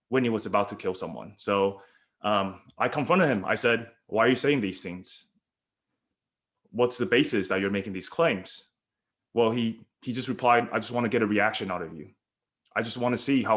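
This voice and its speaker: A man's voice: on the low side, fairly bright tone. A man telling a personal story earnestly and steadily during a video call discussion. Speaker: panelist.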